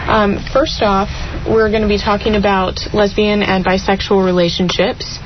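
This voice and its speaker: A woman's voice: mid-range voice, level tone, noisy background. Chatty giggly young woman on radio. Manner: chatty and giggly, slows for details. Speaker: youth group presenter. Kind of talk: casual radio talk. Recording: dull lo-fi radio broadcast.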